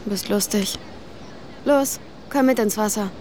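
A young woman playing a curious, forthright, gently teasing teenage girl in a naturalistic audio drama, with a direct, questioning manner. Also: mid-range voice, measured pace, natural voice. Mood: friendly, curious. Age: young adult. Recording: outdoor ambience, faint traffic noise.

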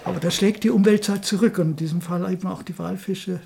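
Gravelly older man reminiscing. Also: high voice, steady tone, fast, warm tone, slight background noise. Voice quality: gravelly, husky. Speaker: retired radio drama director and editor. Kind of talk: casual interview reminiscence.

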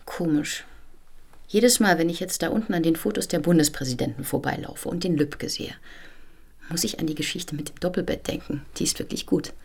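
A woman's voice: low voice, brisk, creaky, a little mellow, slightly rough, exaggerated voice. An actress in a radio drama playing a chatty female visitor in an informal, conversational manner. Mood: chatty, amused. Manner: conversational, anecdotal. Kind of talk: German radio drama dialogue.

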